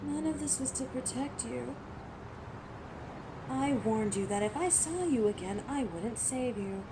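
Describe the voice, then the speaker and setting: A woman's voice: a little high, expressive, a little slow, background noise. A woman voicing a commanding, exasperated and ruthless female alpha werewolf in a dramatic roleplay audio, imperious and sardonic. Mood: cold, menacing.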